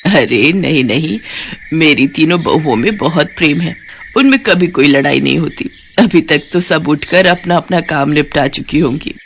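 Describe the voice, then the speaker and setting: Lady doing a kind older mom-in-law for a storybook, lower voice, soothing, softly scolding. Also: normal speed, slight background noise. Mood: content. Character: gentle older mother-in-law, loving peacemaker.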